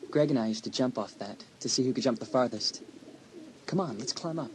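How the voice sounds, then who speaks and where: A man's voice: medium pitch, fairly quick, lightly acted. An actor playing a confident, teasing, sporty teenage boy with a romantic streak in a dramatised audiobook. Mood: earnest, eager. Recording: audiobook tape with faint noise.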